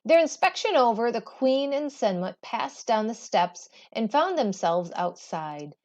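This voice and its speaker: A woman teacher reading a storybook aloud with expressive, deliberate, emphatic phrasing. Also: a little high, dull audio.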